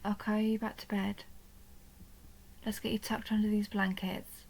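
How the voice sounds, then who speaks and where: Vampire countess for intimate audio roleplay, played by a woman: soft and coaxing, near-whispered, creaky, narrow pitch movement, lonely and possessive. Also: flat delivery, normal speed. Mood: teasing, doting. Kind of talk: intimate roleplay audio drama, addressed to the listener.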